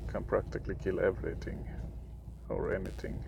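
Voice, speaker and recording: A young man from Sweden with a Swedish accent, for whom English is a third language, giving casual gameplay commentary in a halting way, often searching for words. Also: low voice, monotone, gravelly, background noise. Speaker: gaming video creator.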